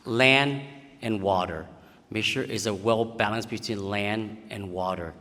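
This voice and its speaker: Man, low voice, plain broken phrasing, echoing hall. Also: unhurried, bright, forceful tone. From Vietnam. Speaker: city council candidate. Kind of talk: candidate forum answers. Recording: reverberant hall.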